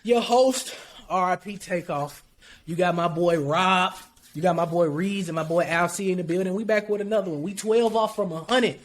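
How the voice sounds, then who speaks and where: A man hosting a laid-back group podcast in a noticeably high voice. He talks emphatically, repeats hype lines and swears freely as he steers the conversation. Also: normal speed. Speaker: podcast host. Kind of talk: casual group podcast chat.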